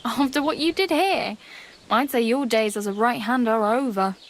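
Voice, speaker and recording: A woman's voice: a little high, moderate pace, close to an everyday voice. A woman playing a plucky, wry young medieval beggar who wanders from place to place, in a folksy, teasing way for a video game. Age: young adult. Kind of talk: video game dialogue acting. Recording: background music under the dialogue.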